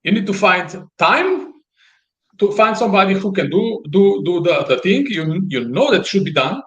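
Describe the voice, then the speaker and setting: Male business guest, high voice, Slovenian native accent, explanatory and halting, repeats words for emphasis. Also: big pitch swings, fairly bright tone, dull audio.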